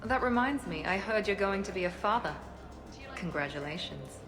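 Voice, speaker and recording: A woman's voice: medium pitch, animated, normal speed, close to an everyday voice. A woman playing a self-assured former operative in a video game, composed and precise with a dry edge. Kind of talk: scripted game dialogue. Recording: music under the voice.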